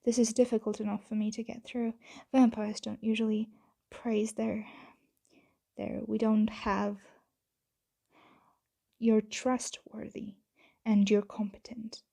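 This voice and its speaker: A woman voicing a possessive yet tender vampire owner in an ASMR roleplay, speaking softly and often whispering in formal, gently commanding words close to the mic. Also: fairly high pitch, level tone, heavily acted. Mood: hesitant, fond. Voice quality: soft, breathy, often whispered. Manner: slow, hushed, gently commanding, formal wording. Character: possessive, tender female vampire owner addressing her servant.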